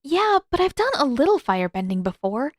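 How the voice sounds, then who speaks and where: A woman voicing an impatient, playful teenage girl with an expressive, informal delivery, as in an audiobook drama. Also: high-pitched, brisk, no room sound, clean studio sound, pitched above the performer's own voice. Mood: frustrated, whiny. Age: teenage character voiced by adult.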